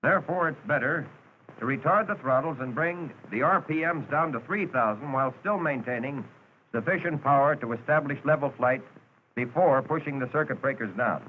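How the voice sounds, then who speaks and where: An American man reads scripted narration for a 1940s training film. He is measured and instructive, with a medium-pitched voice and clear noise on the old soundtrack. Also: narrow, tinny audio.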